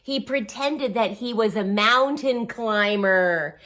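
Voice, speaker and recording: A woman reading a picture book aloud to young children, with simple, encouraging phrasing, expressive emphasis and little asides and questions. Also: on the high side, slow.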